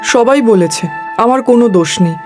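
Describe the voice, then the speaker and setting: A young village wife, played by a woman, plaintive and quick to take offence. Her anxious cartoon dialogue sits over loud music. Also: a little high, lively intonation, lightly acted. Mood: indignant. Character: young married village woman, defensive, anxious daughter-in-law. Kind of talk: Bengali horror cartoon dialogue.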